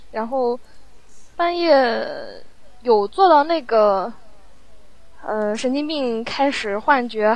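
A woman chatting casually in an interview as a guest sound editor, telling her experiences in an animated, rambling way. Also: a little high, no echo, poor audio. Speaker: audio drama post-production sound editor, guest.

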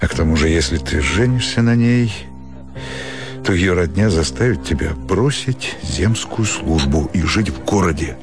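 An actor playing a weary, lovestruck country doctor who muses to himself in broken phrases in a radio play. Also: male voice, deep voice, expressive, music in the background. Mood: self-doubting. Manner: slow musing, broken phrases, talking to himself. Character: weary young country doctor in love, brooding and torn. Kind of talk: radio play inner monologue.

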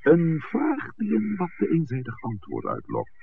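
An actor playing a dignified, evasive village priest in a 1960s Dutch radio drama, speaking formally and deliberately. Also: male voice, fairly high pitch, huge pitch swings, gravelly, full character voice. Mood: measured, evasive. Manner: formal, deliberate, wordy, hesitant at times. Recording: muffled, noisy old radio recording.

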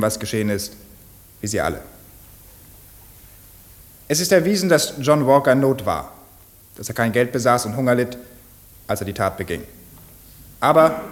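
A man's voice: medium pitch, close to an everyday voice. An actor as a solemn male defence lawyer. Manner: measured, rhetorical, emphatic pauses. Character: earnest, solemn defence lawyer pleading for mercy. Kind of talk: German radio play courtroom speech.

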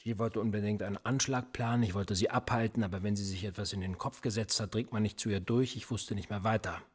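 A man's voice: lowish voice, steady tone, fast talker, slightly rough. An actor playing a police interrogator in a radio play, pressing and sarcastic as he questions a suspect. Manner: pressing, sarcastic, quietly needling. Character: probing male cop, middle-aged, cold and persistent.

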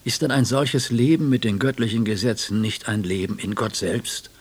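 An actor playing an educated, sceptical German jurist in a radio play, talking in a measured, bookish, questioning way with a gravelly, husky voice. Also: male voice, on the low side. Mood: sceptical, thoughtful.

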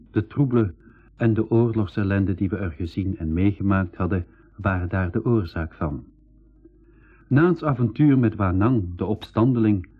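A man's voice: fairly low pitch, slightly rough. A man playing an eager, outspoken boy telling his adventures in a 1980s school radio play. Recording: thin phone-like radio recording, music.

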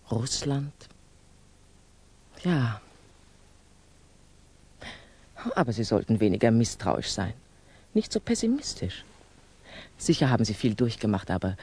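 An actress playing a composed, candid older female revolutionary in a 1960s German radio drama, measured, admonishing and probing. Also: rumbling low, dark tone, husky, exaggerated voice. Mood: probing, encouraging.

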